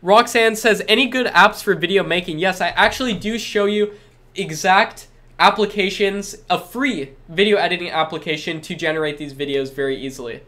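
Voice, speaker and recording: A man's voice: high voice, normal speed, bright, forceful tone. A young man with a stuffed-up cold, reading chat questions aloud and answering them in an upbeat, salesy way during a live stream from a small room. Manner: upbeat, repetitive salesy reassurances. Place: small room with lit backdrop.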